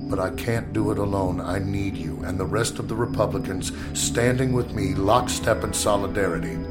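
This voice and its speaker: An American actor playing a formal, authoritative, stern Secretary of War in an 1865 historical audio drama. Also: male voice, on the low side, steady tone, normal speed, loud music behind the voice, close to an everyday voice. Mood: resolute, quiet.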